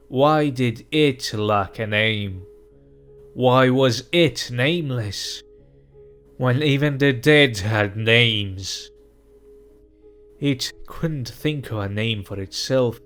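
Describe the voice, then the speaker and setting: A man reading a dark fantasy story aloud as narrator, slow and measured. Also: mid-range voice.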